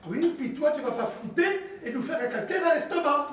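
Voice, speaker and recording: An actor playing a cheeky petty crook who jokes in cocky slangy banter, in a film comedy. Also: male voice, extremely high pitch, lively intonation, fairly quick, fairly bright tone. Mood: teasing. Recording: echoey room, far mic, faint hiss.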